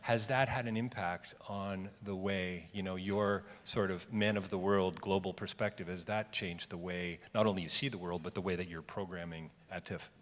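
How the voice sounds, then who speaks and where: A man moderating a live fireside chat in a chatty, joking way, often stammering as he starts his questions. His voice sits fairly low, and the room adds a long reverb. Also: fairly even intonation, normal speed, hardly any pauses. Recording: reverberant hall.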